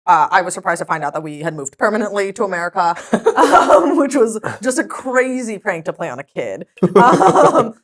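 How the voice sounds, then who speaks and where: A woman whose first language is Hebrew, a podcast guest telling stories in a lively, chatty way and laughing often. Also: medium pitch, normal speed. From Israel. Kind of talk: casual chat.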